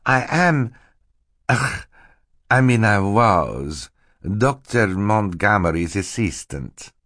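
A man's voice: lowish voice, sing-song, takes it slowly, a bit bright, no room sound, clean studio sound, voice pushed up, full character voice. A man narrating an audiobook, voicing a scheming villain who poses as a meek, fluttery, apologetic assistant. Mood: feigned sorrow. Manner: hissing menace switching to fluttery, falsely apologetic politeness. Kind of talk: audiobook character acting.

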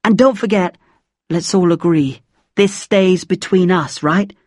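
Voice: mid-range voice, measured pace, a little mellow, no echo, clean studio sound, lightly acted. A narrator voicing a friendly, take-charge teenage schoolboy in an audiobook story. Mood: rallying, confiding.

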